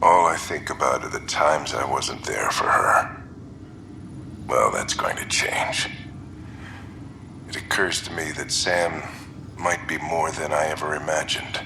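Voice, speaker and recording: A man's voice: unhurried, pauses often, noisy background, heavily acted. An actor playing a weary middle-aged man reflecting somberly in a private log in a video game. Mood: somber, resolute. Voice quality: gravelly, very low. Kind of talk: acted video game monologue, personal log.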